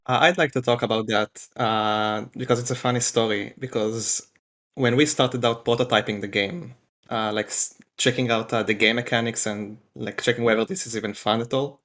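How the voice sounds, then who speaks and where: A man, a game developer guest on a podcast, talking in a relaxed way with many pauses. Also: mid-range voice. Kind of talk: casual podcast interview.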